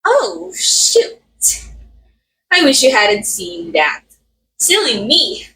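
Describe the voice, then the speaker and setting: Roleplay lead: woman as a vain killer actress, high-voiced, unhurried, coyly condescending. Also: huge pitch swings, gravelly, background noise, heavily acted. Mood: coyly menacing. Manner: theatrical, coy, condescending, drawn-out phrasing. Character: murderous method actress, vain, sweetly menacing, theatrical. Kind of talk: ASMR roleplay acting, addressing the listener.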